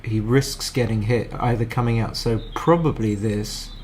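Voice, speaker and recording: A man's voice: fairly low pitch, steady tone, normal speed, a little mellow, slight background noise. A man giving casual live commentary on a match as a streamer, chatty and thinking aloud with frequent restarts.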